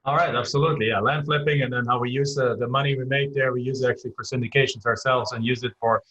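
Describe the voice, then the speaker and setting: A man's voice: medium pitch, steady tone, fast talker, pushed and bright, rough recording. A man in his forties from Germany with a German accent, talking as a podcast guest with frequent restarts. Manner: talkative, frequent restarts and fillers. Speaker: guest investor. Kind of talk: casual podcast interview.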